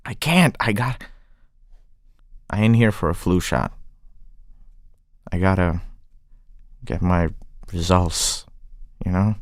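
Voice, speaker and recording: Earnest young charmer, close and dry. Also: male voice, low voice, slow pace, long pauses, no echo. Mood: pleading. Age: young adult.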